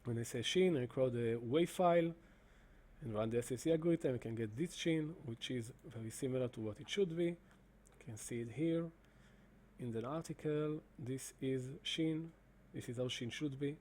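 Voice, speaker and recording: Halting man with a mellow voice. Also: mid-range voice, slight background noise. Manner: halting, many fillers and restarts. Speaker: presenter demonstrating software. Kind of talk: screen-recorded explanation.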